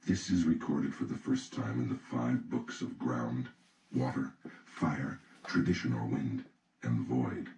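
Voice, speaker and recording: A man reading an audiobook from a script in a solemn, deliberate, instructional way. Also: rumbling low, creaky, a little mellow. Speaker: audiobook reader. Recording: roomy, distant mic, faint noise.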